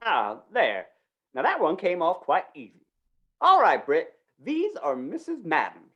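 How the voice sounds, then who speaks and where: Woman playing a flustered small-town master of ceremonies, lively swoops. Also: lowish voice, muffled recording. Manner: dithering, halting, folksy, repeats words. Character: flustered, folksy male small-town contest announcer named Otis. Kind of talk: old-time western radio play acting.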